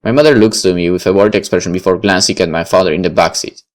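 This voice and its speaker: A man with a fairly low voice reads through a first-person story game. He mixes narration with casual player commentary, and soft guitar music plays faintly underneath. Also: fast. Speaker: gamer reading a visual novel aloud and commenting. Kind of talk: dramatic read-aloud of first-person fiction with casual asides.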